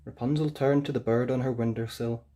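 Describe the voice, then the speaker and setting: A woman narrating a children's fairytale as an expressive storyteller. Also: extremely low pitch, brisk.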